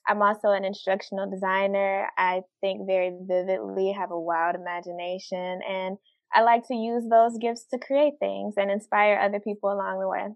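A woman's voice: medium pitch, steady tone, moderate pace, vocal fry, no echo. Woman, bubbly podcast guest, muffled dry recording. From Detroit. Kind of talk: casual interview.

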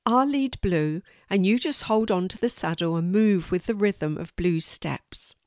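Woman doing a kind girl, gentle and reassuring, slow storybook reading, dry close sound. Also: mid-range voice, dry recording, natural voice. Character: kind helpful girl rider.

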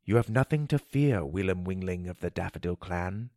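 A soft-spoken, ancient enchanted sword, voiced by a man that speaks slow and measured, calm and wise. The voice sits low and lively in its lilt, close and dry with no room sound, like a storybook being read aloud. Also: dry recording. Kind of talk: storybook character voice.

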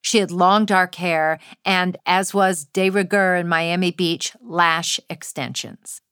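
A woman's voice: lowish voice, slow pace, a bit bright, clear, resonant tone, no echo. A woman writer reading her own first-person essay aloud with wry, dry humor.

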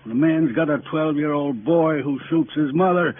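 A man's voice: a little high, normal speed, natural voice. An American actor playing a weary, guilt-ridden aging father who speaks plainly and ruminatively in a 1950s radio drama. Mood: weary, resigned. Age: middle-aged or older. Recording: tinny, noisy old radio broadcast.

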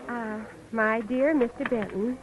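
Actress as high, trusting radio-drama sweetheart. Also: female voice, unhurried, crowd noise, close to an everyday voice. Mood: gentle, curious. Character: trusting, eager woman in love with schemer. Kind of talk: 1940s radio drama acting. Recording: muffled old radio with faint noise.

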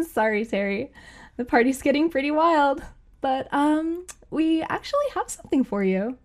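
A woman's voice: high-pitched, lively intonation, moderate pace. An actress playing a giggly young woman at a party, hesitant and full of ums. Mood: sheepish. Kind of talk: audio drama acting.

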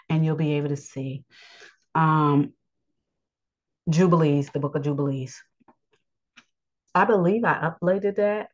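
Low-pitched lady teaching scripture, lots of ums, kinda dull lo-fi audio. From United States. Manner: earnest, repeats points, frequent ums. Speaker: Bible teacher. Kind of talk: unscripted religious lesson.

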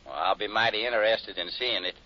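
An American actor playing a mild, easygoing small-town sheriff in a 1950s radio drama. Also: male voice, fairly low pitch, fast talker, no room sound, lightly acted. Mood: mild, curious. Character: folksy, unhurried small-town male sheriff. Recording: muffled, dry vintage radio recording.

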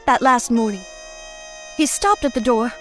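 An actress playing an anxious, genteel wife in an old-time radio melodrama, hesitant and plaintive. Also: female voice, on the high side, big pitch swings, moderate pace, a bit bright, soft background music. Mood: uneasy, hesitant.

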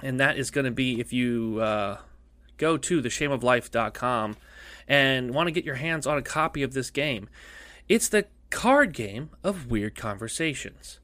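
A man's voice: mid-range voice, expressive, measured pace, fairly bright tone, no echo. A male podcast host with a cough, chatting casually in a rambling, jokey way that keeps repeating itself. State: coughing, losing his voice.

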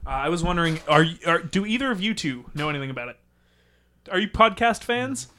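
A man hosting a comedy podcast, playful and quick to laugh. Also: on the high side, sing-song, sharp tone. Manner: playful, giggly interviewer. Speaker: podcast host.

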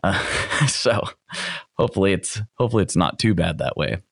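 Low-voiced podcast host with a radio voice. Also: male voice, lively intonation, moderate pace, gravelly, no echo, clean studio sound. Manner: chatty and joking, radio-style delivery. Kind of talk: casual interview chat.

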